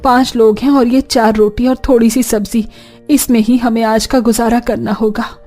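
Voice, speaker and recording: Actress as a high-voiced, plaintive village woman. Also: little pitch movement, moderate pace, dark tone, faint music behind, lightly acted. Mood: worried. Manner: plaintive, melodramatic. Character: suffering, worried women of a poor village family. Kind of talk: Hindi cartoon dubbing.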